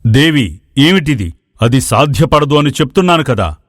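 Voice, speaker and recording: A man acts out a maharaja in a storybook drama. He is a fatherly, careworn ruler who speaks formally and patiently, in a mid-pitched voice recorded close and dry. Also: very animated, moderate pace, dry recording. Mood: troubled, reasoning. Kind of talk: dramatized moral story dialogue.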